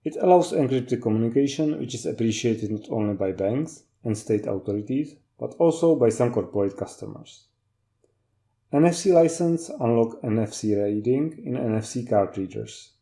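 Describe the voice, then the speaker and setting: Man with a very dark, mellow voice. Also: mid-range voice, animated, moderate pace, gravelly. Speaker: technical trainer. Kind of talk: scripted instructional narration.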